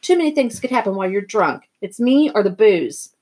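A woman's voice: a little high, sing-song, a little fast, darkish tone, husky. A woman voicing a confident, strong-willed teenage girl in a storybook, direct and insistent in manner. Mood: indignant, firm.

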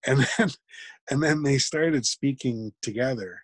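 A man, a guest in an interview, talking reflectively and haltingly, with frequent restarts. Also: medium pitch, breathy edge, dry recording. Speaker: podcast guest. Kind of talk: conversational interview.

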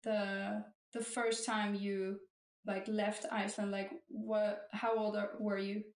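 A woman hosting an interview, talking casually and haltingly, restarting often and filling pauses. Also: mid-range voice, little pitch movement. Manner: hesitant, many restarts and fillers. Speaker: interviewer host. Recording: roomy echo.

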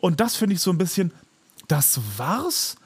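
Male podcast co-host, higher-pitched husky voice, emphatic and opinionated in casual talk. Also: sing-song, measured pace. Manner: emphatic, ranting, hyperbolic.

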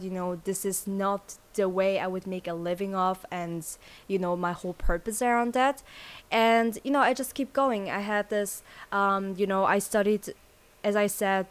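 A young woman hosting her own podcast, talking earnestly on her own and filling pauses with "you know". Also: mid-range voice, clean tone. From born in South Korea, grew up in Switzerland. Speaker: podcast host. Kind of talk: solo podcast monologue.

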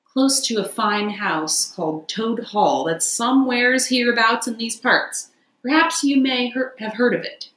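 A woman's voice: a little high, a little slow, a bit bright, echoey room, pitched above the performer's own voice, low-quality recording. A woman voicing a boastful male toad who poses as a humble washerwoman, chatty, wheedling and self-important, for a storybook. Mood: plaintive, ingratiating.

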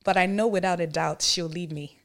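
A woman hosting a podcast, chatting casually with slang and reading stories aloud to friends in an animated way. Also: on the low side, a little fast. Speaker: podcast host.

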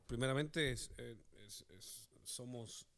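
A middle-aged man with a Chilean accent, a pastor reflecting conversationally as a guest, deliberate and posing rhetorical questions. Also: medium pitch, creaky, a bit bright.

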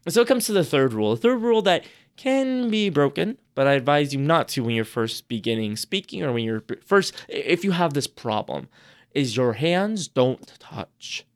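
A man giving casual advice on public speaking on a podcast, chatty and rambling, restarting his sentences often, his voice a little hoarse. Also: fairly high pitch, big pitch swings, normal speed. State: slightly hoarse. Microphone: podcast microphone.

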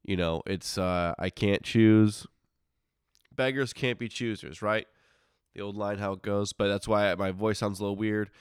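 A low-voiced man talks casually as a solo podcast host. His nose is stuffed up from congested sinuses, though the voice stays smooth and clean in a dry, close recording. He is informal and grumbles in asides, often cutting into his own sentences. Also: fairly even intonation, unhurried, no echo.

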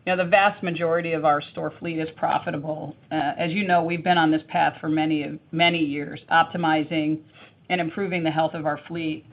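Flat-voiced woman on a tinny phone line. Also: sits low. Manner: measured, with frequent ums and restarts. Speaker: company executive. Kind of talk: earnings call answers.

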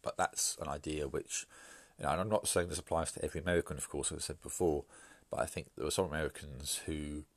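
A man hosting his own podcast, talking through an opinion piece in a measured, hesitant way with frequent fillers and restarts, sounding congested and bunged up from hay fever. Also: very deep voice, fairly even intonation, no echo. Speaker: podcast host. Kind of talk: solo podcast commentary.

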